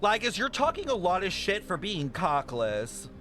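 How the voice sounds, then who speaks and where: A man's voice: high-pitched, wildly swooping pitch, moderate pace, bright, forceful tone, faint music behind. A man streaming a game reaction, making profane, joking, chatty commentary with lots of fillers. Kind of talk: casual live-stream reaction.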